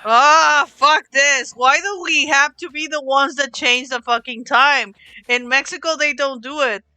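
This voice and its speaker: A woman from Ohio co-hosting a casual podcast, animated and swearing a lot. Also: high voice, a little slow, dry recording. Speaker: podcast co-host. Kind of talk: casual chat. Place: home streaming setup.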